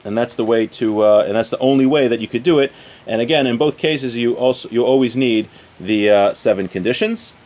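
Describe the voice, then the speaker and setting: Male religious teacher, mid-pitched, informal class delivery, explanatory, restates points in plain everyday terms. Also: brisk, a little mellow. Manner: explanatory, repeats and rephrases points with everyday examples. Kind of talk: informal religious lesson, reading source text then explaining.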